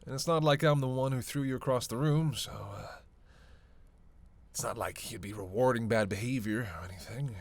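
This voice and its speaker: A man playing a snarky, self-serving male vampire who teases the listener in a casual, sarcastic roleplay audio drama, with a creaky voice. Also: mid-range voice, normal speed, natural voice. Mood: coaxing, playful. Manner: casual, sarcastic, teasing, cursing.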